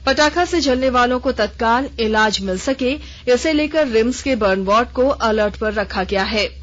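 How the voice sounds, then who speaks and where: A woman newsreader reading a formal regional radio news bulletin in a measured, even way. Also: fairly high pitch. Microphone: studio microphone. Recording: dull lo-fi radio broadcast.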